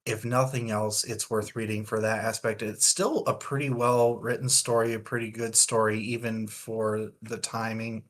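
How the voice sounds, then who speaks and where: A man's voice: lowish voice, level tone, normal speed, hardly any pauses, a bit bright. A man co-hosting a casual book discussion, thinking aloud in long, winding points. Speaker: podcast co-host.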